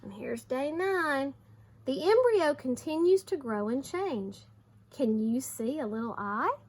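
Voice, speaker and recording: High-voiced woman reading aloud to children. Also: unhurried. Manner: expressive, explains simply to young children. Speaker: teacher. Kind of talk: children's read-aloud with teaching asides.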